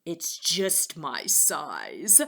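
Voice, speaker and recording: A woman voicing a timid, gentle male pig in a children's storybook, speaking in simple, expressive phrases. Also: deep voice, extremely slow, vocal fry, voice pushed down, heavily acted. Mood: eager. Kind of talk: children's storybook read-aloud.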